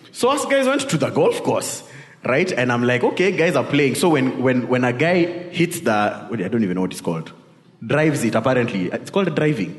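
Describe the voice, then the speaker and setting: The sound is echoey, with the long reverb of a large hall. A man with a Kenyan accent preaches at a medium pitch. His sermon is conversational and informal, built like personal storytelling. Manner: informal, peppered with tag questions and Swahili asides.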